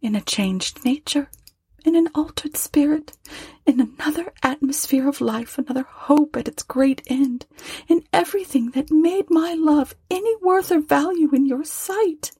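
A woman voicing a young woman who speaks softly and sorrowfully, gentle but firm, close to tears. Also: high voice, measured pace, dry recording, pitched above the performer's own voice. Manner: soft, tearful, pleading.

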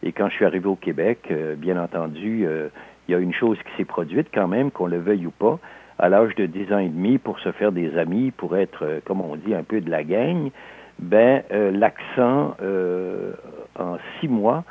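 Older male guest, Québécois accent, husky low voice, thoughtful and hesitant delivery, tinny phone-like audio. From Alsace, France. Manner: measured, halting with frequent hesitations. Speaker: interview guest, novelist. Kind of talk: reflective interview talk.

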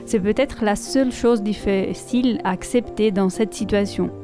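Italian woman, accented French, reads in a calm, measured way, mellow darker tone, faint music under her voice. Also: medium pitch. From Italy. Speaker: podcast columnist. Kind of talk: reading aloud and commentary.

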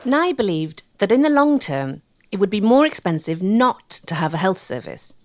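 A woman's voice: mid-range voice, big pitch swings, moderate pace, noisy background. A woman delivering scripted documentary narration.

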